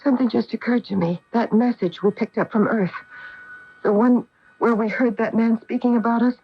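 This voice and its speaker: A woman's voice: a little high, fairly even intonation, normal speed. An actress playing an anxious, alert female astronaut in a 1980s radio drama, on a muffled old broadcast tape. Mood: fearful wondering.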